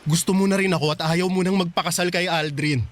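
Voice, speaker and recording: A man's voice: high-pitched, steady tone, fast talker, noisy background, close to an everyday voice. An actor playing an insistent, lovesick young male suitor in a Filipino radio drama. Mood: insistent, pressing. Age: young adult. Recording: loud music under the voice.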